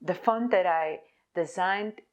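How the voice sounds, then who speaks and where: A young woman speaks English, her voice sitting a little low. She delivers a prepared conference presentation about her own type design, measured and deliberate, as if reading from notes. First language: Polish.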